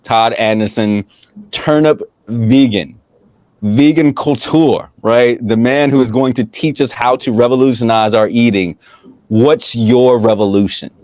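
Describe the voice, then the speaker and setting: A man hosting an interview show, chatting in an animated, playful way, laughing often and repeating phrases. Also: mid-range voice, measured pace, no echo. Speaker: podcast host. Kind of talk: casual interview chat.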